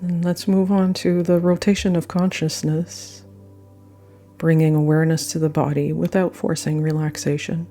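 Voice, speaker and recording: A woman guiding a yoga nidra meditation with gentle, unhurried phrasing and frequent pauses. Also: deep voice, level tone. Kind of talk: guided meditation narration. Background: soft meditation music.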